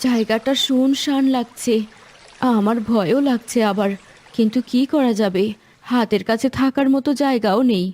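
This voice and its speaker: A woman's voice: a little high, moderate pace, a little mellow, lightly acted. A woman playing a poor, sorrowful village wife in a cartoon, speaking in a plaintive, emotional way. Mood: anxious. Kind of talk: cartoon dialogue acting.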